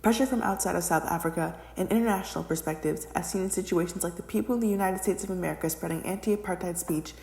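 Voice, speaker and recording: A woman's voice: on the low side, quick pace, creaky, few pauses, darkish tone. A young adult woman reading a scripted educational narration as the narrator, recorded in an echoey room.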